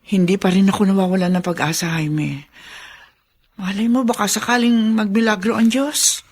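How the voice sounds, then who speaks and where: A woman's voice: medium pitch, fairly even intonation, normal speed, darkish tone, close to an everyday voice. An actress playing a hopeful, steadfast woman comforting a patient in a radio drama. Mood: reassuring, hopeful.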